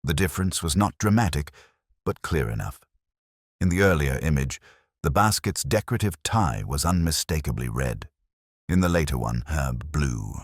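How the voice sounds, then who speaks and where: A man with a very deep voice narrates a mystery story. His delivery is measured and deliberate, yet expressive in pitch, with a dry, close sound. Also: dry recording.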